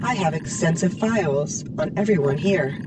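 A precise, efficient intelligence officer played by a woman reports formally with lilting pitch. She sounds slightly distant amid loud ship noise. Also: lively intonation, moderate pace. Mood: brisk, informative.

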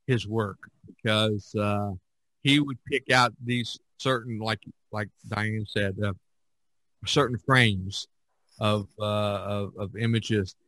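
A man's voice: fairly low pitch, steady tone, slow pace, bright, forceful tone, no echo, low-quality recording. A man hosting a casual podcast discussion, rambling along, often repeating himself and trailing off. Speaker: podcast host.

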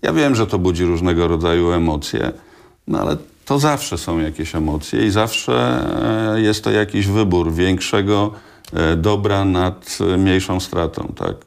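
Studio guest, man in his 60s, very low voice, slow measured answers full of hesitations. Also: gravelly. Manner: measured, hesitant, many filler sounds. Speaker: hospital director, studio guest. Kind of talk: radio studio interview answers. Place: small TV studio.

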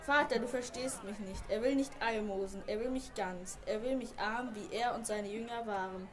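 A woman's voice: fairly high pitch, normal speed, natural voice. A woman playing a devout, idealistic young man who embraces poverty, speaking in an earnest, declamatory way in an amateur radio play. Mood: earnest, pleading.

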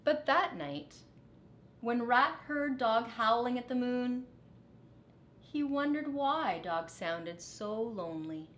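A middle-aged woman reading a children's picture book aloud deliberately and expressively in a living room. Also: fairly high pitch, expressive, fairly bright tone, roomy sound, a little hiss or hum. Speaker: storyteller, teacher. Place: living room, indoors on a couch.

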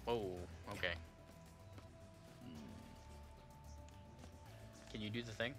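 A man's voice: lowish voice, lively intonation, takes it slowly. A young man on a headset mic, casually commenting while he plays a game and jokingly mimicking its lines. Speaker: streamer. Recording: game music under voice. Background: game music.

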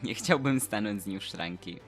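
A man's voice: fairly low pitch, normal speed, faint music behind, close to an everyday voice. A man voicing a cheerful, curious and slightly timid statue boy in an audio drama, exclaiming in a naive way.

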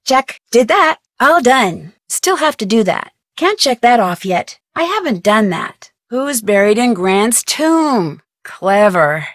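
A woman voicing a plucky, curious young detective in a video game, with an American accent and perky, clearly enunciated, expressive delivery. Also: fairly high pitch, slow, hardly any pauses, no room sound, studio quality. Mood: musing, focused. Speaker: game character and tutorial guide.

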